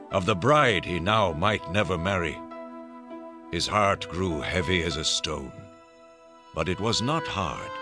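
A man's voice: low voice, heavily acted. A man acting as a solemn, lyrical storyteller, narrating a scripted radio drama. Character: solemn storyteller of his captive Native brothers.

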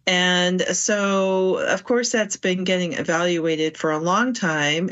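A dull, slightly muffled recording of a woman with a lowish voice. She explains things as a medical expert on radio, in measured and careful phrases. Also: fairly bright tone. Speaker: medical officer guest.

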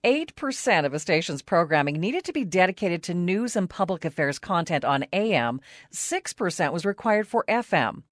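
Lively, low-pitched American woman narrator. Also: moderate pace, no room sound, studio quality. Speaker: radio anchor and reporter, host. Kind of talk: scripted documentary narration.

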